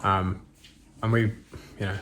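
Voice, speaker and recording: A man vlogging in a relaxed, rambling way, full of ums and restarts. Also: deep voice, a little slow. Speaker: travel vlogger. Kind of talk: casual chat to camera.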